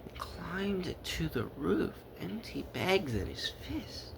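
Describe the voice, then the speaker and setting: A man tells a children's story with big swoops in pitch and a gravelly edge. He reads theatrically, stressing and stretching words for effect. The pace is unhurried, with faint noise behind him. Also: big pitch swings. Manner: dramatic, drawn-out emphasis and repetition. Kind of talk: theatrical read-aloud of a rhyming children's story.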